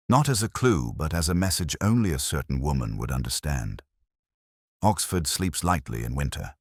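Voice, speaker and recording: A man with an extremely deep voice narrates a detective story in a measured, reflective way. His pitch moves expressively through the literary phrasing, and the recording is dry and close, with very little room sound. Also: no room sound. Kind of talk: scripted first-person narration, literary detective audio drama.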